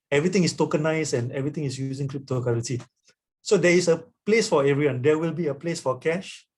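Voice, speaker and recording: Man, guest author, webinar answer, explanatory, tags "right?", mid pitch. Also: a little mellow. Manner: explanatory, often adds "right?". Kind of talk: webinar talk answering questions.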